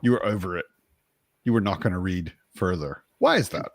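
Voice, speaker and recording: A man's voice: low voice, expressive, brisk, gravelly, no room sound, studio quality. A man hosting a casual book discussion.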